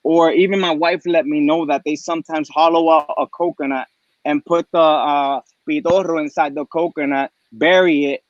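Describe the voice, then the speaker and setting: A man's voice: on the high side, fairly even intonation, normal speed, dry recording. A man raised in Puerto Rico, a guest on a video call, talking eagerly and rambling with many restarts. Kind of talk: casual chat. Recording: dry muffled video call audio.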